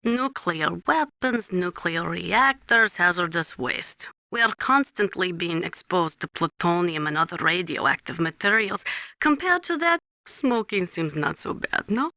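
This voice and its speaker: A woman playing a tough, earnest Ukrainian nuclear weapons analyst in a video game, speaking deliberately and instructively with a Ukrainian accent. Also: lowish voice, expressive, bright, forceful tone, no room sound, heavily acted. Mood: concerned, advising. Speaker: military analyst and nuclear specialist. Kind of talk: scripted game voice acting, briefing.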